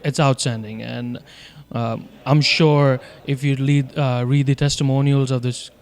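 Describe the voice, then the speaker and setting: A man's voice: mid-range voice, level tone, measured pace, clean tone. A man co-hosts a charity networking event. He talks in a friendly, loose way and rambles, with many fillers between his thoughts. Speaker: volunteer co-host. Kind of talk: hosting an event segment.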